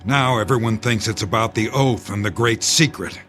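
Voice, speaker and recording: Low-voiced, grim conspiracy insider played by a man. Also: a bit bright, natural voice. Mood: grave, confiding. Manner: grave, cynical, deliberate explaining. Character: grim, bitter male doomsday conspiracy insider. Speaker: actor in an audio drama. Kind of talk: radio drama acting. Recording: music under the dialogue.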